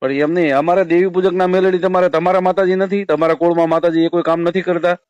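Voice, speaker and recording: Persistent man questioning, slightly high voice. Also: steady tone, quick pace, a little mellow, clear, resonant tone, no echo, lo-fi sound. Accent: Saurashtra Gujarati. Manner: probing, persistent. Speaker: caller challenging a shrine keeper. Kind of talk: confrontational phone questioning.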